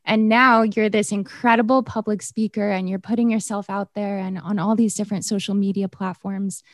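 A woman's voice: medium pitch, little pitch movement, normal speed, no room sound, studio quality. A woman interviewing her former teacher, asking questions in an enthusiastic, admiring way. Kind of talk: casual interview.

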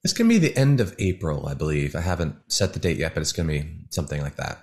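A man, a musician calling in as a guest, talking in a relaxed, rambling way with many fillers over a call. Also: extremely low pitch, quick pace. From United States. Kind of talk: casual interview over a call. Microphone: phone or video call.

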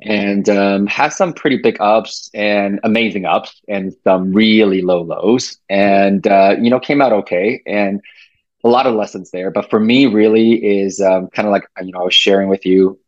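A man's voice: on the low side, moderate pace, no echo, dull audio. A man from Taiwan in his late thirties, a podcast guest talking casually and reflectively, with many fillers and restarts. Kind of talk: casual interview talk.

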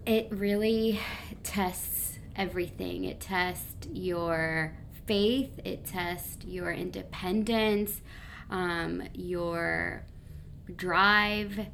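A young woman from Colombia, a podcast co-host chatting casually and telling stories with excitement. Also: on the low side, gravelly, a little hiss or hum. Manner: animated, excitable storyteller. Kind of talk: casual chat.

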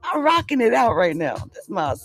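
A hoarse speaker chatting casually about astrology, rambling and giggling often. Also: medium pitch, very animated, a little fast, a bit bright, faint music behind. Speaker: astrology vlogger.

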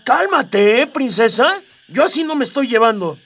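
Casting: streetwise young Mexican man, lively intonation, slangy and laid-back, radio comedy, slightly distant. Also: very high-pitched, normal speed, full character voice. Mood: unimpressed. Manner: slangy, casual. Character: cheeky, laid-back young male slacker hero, streetwise. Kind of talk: comedic radio drama acting.

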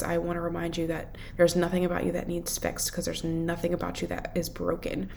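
A woman's voice: lowish voice, vocal fry, a little hiss or hum. A woman with a head cold, congested, hosting a podcast alone in an earnest, encouraging, casual and unscripted way. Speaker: podcast host and self-improvement mentor.

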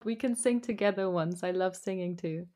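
A woman hosts an interview, putting her questions in an upbeat, encouraging way. Her voice sits a little low for a woman. She responds warmly to each answer before moving on. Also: normal speed.

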